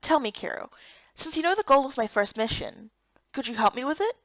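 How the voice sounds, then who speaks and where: A woman's voice: high voice, big pitch swings, a little fast, a bit bright, dry recording, muffled recording. A woman narrating a voiced game story and speaking as its heroine.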